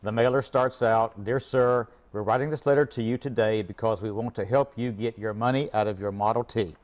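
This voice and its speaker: A man's voice: lowish voice, fairly even intonation, brisk. A man speaking as a guest expert in a casual interview, explaining things in a plain-spoken, folksy way. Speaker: auto repair shop owner, guest expert.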